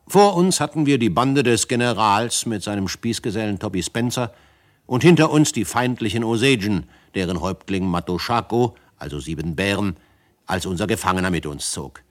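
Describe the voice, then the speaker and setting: Actor as low-voiced, pensive adventurer narrator. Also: male voice, animated, moderate pace, lightly acted. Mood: narrating. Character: reflective male frontier adventurer telling his story. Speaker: first-person narrator. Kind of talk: scripted narration in a German western radio drama.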